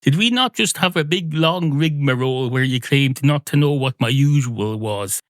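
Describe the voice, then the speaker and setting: A man's voice: medium pitch, lively intonation, normal speed, no room sound, studio quality, natural voice. Man playing an indignant, pedantic talking cat. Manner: haughty, easily offended, wordy. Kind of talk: improvised comic monologue dialogue.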